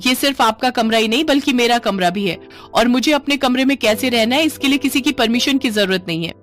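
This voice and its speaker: A woman plays a young newlywed sister-in-law with a high voice. She grumbles and argues in an exasperated way, like someone fed up with her household. It is cartoon story dialogue over music. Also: brisk, close to an everyday voice. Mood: defiant. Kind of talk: animated Hindi family story dialogue.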